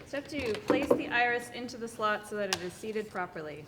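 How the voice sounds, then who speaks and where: A woman's voice: a little high, lively intonation, brisk, a bit bright. Young woman reading aloud, echoey and noisy. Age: young adult. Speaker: student reading instructions. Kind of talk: reading written instructions aloud. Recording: echoey hall, clear background noise.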